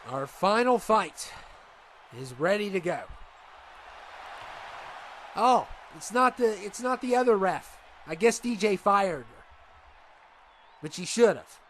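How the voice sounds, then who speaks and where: A man with a stuffed-up nose doing rambling, excitable play-by-play for a video game wrestling show. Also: high voice, slow, long pauses, slight background noise. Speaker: commentator.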